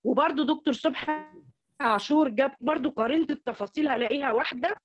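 A woman with an Egyptian Arabic accent giving an academic slide lecture over an online call, halting and repeating words as she goes. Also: high-pitched. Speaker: academic presenter. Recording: dull lo-fi online call audio.

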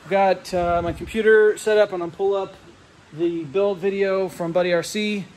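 A man in his thirties with a slightly high voice talks into a phone camera held close. He rambles easily with fillers and restarts while explaining technical details, and a faint hum of room ambience sits in a big metal hangar behind him. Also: steady tone, normal speed, a little mellow. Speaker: RC plane hobbyist vlogger. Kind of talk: casual walkthrough vlog. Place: large metal hangar, indoors. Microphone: handheld phone camera held close.

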